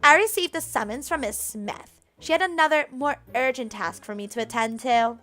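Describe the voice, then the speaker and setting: Woman acting a haughty, mocking older maid, high and theatrical. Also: sharp tone, faint music behind. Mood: smug. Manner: drawn-out, mocking, theatrical scolding. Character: haughty older female maid, smug cruel bully.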